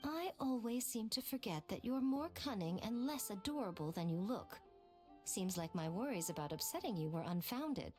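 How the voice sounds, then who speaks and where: A woman's voice: mid-range voice, expressive, normal speed, darkish tone, faint music behind, lightly acted. A woman voicing a sly, self-assured shrine head in a video game, with a coy, teasing, haughty manner. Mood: dry, affectionate.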